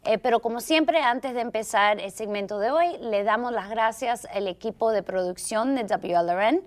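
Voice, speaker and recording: A woman's voice: fairly high pitch, moderate pace. A woman hosting a Sunday television interview show, courteous and admiring toward her guest. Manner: courteous, admiring, formal introductions. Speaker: host.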